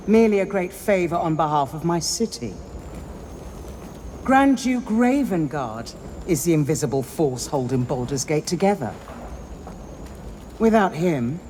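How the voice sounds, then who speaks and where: A proud elven stateswoman in a fantasy game. She speaks with formal, measured gravity and lively inflection. Clear ambient noise sits behind her. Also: low voice. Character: grave, proud elven city councillor woman with feathered mantle. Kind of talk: dramatic video game cutscene acting.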